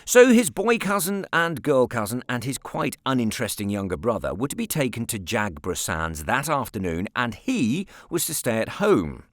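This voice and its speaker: A British man narrating a story as an audiobook reader, expressive, measured and wryly articulate. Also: medium pitch, no echo, studio quality.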